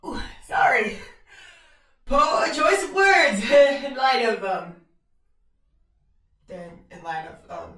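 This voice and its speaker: Casting: woman as chirpy, awkward pony announcer, halting and theatrical, very animated, roomy distant sound. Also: high voice, full character voice. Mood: flustered. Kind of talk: announcer in audio drama. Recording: echoey, distant in-world announcement.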